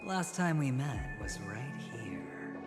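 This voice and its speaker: A man voicing a suave, calculating young gambler in a video game, with a smooth, teasing, theatrical manner. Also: mid-range voice, animated, slow, over loud music. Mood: playful, ominous.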